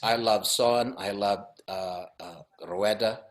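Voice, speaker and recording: A man's voice: on the low side, steady tone, slow pace. A man hosting a casual podcast interview, asking questions with stammers and repeated words. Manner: stammering questions, frequent fillers. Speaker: podcast host.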